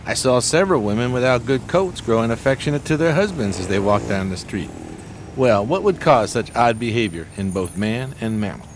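An actor playing a pompous, genteel homeless schemer in a 1920s radio play, speaking in flowery, courteous phrases. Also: male voice, mid-range voice, lively intonation, a little fast, slight background noise, lightly acted. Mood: delighted, genial.

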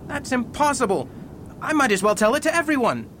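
A man playing a wealthy, cornered gentleman in an amateur radio drama, sounding anxious and pleading. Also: very high-pitched, sing-song, fairly quick, a little hiss or hum, heavily acted.

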